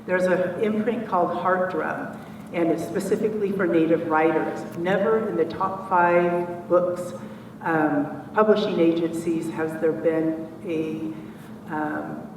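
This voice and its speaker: A woman's voice: deep voice, darkish tone, distant mic. A woman in her sixties, a children's author speaking earnestly on a conference panel. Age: 60s. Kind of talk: panel discussion remarks. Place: conference hall. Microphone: far from the mic. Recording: echoey hall recorded from afar, noisy.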